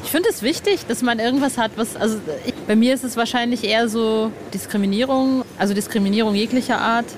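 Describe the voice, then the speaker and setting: A woman from western Germany interviews in a loose, chatty style. Her voice is a touch high and her way of talking is direct and informal. Ambient noise is clearly audible behind her. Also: quick pace, few pauses. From Ostwestfalen, Germany. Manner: blunt, informal. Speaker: podcast interviewer. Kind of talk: casual chat.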